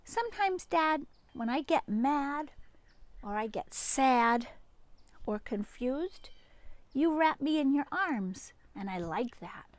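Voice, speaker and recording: Woman reading as an eager, high-voiced little boy. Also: lively intonation, slow pace, no room sound. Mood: earnest. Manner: eager, wondering, tender. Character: loving, curious, earnest young boy. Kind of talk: picture-book read-aloud.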